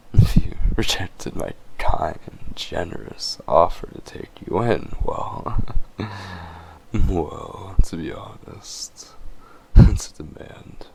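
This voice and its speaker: A possessive, menacing male vampire, played by a man in an audio roleplay, speaking in a very low register at an unhurried pace. He is smug, teasing and condescending toward his prey. A faint layer of background noise sits under the voice. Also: creaky, exaggerated voice. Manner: slow, teasing, smug, often chuckling. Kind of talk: dramatic character audio roleplay.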